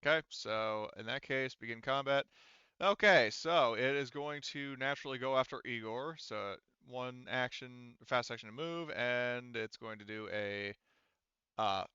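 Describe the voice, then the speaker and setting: A man acting as game master in a casual tabletop game, haltingly thinking aloud as he explains rules, on a close, slightly dull microphone. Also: mid-range voice, sharp tone, smooth, no room sound.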